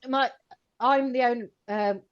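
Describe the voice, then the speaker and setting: A woman co-hosting an informal chat, talking in a chatty, animated way with lots of "like" and "you know" and laughing as she speaks. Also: on the high side, slow pace, dull audio. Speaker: co-host, co-owner of a computing education company.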